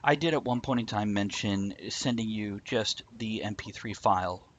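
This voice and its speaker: A man hosts a live stream in casual conversation, explaining at length. His voice sits low. The audio is muffled and lo-fi, with a faint noise underneath. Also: moderate pace. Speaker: host.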